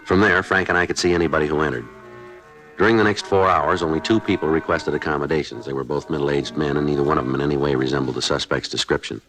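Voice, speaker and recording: An actor playing a terse, deadpan American police detective sergeant in a 1950s radio drama, matter-of-fact in dialogue and narration. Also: male voice, very deep voice, fast talker, soft background music, rough recording. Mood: clipped, factual.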